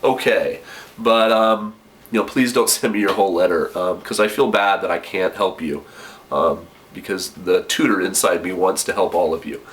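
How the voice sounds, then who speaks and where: Young male vlogger, slightly low voice, stuffy with a cold, rambling friendly advice from his kitchen. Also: moderate pace, fairly bright tone. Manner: chatty, many ums and self-corrections. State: nasal from a cold. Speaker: vlogger giving application advice. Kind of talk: casual advice vlog.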